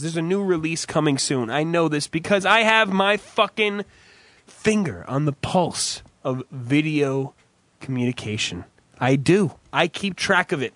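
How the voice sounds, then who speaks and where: Male podcast host, raspy after a cough, profane rambler, slightly high voice, dry sound. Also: a bit bright, no echo. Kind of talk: crude comedic podcast chat.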